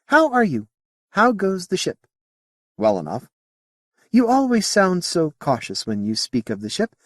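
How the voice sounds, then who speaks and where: A high, lilting female alien who studies humans with calm curiosity, played by a man reading a storybook. She speaks slowly and enunciates with care, in formal, slightly off grammar. The sound is close and dry. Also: no room sound, exaggerated voice. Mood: curious. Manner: slow careful enunciation, formal phrasing.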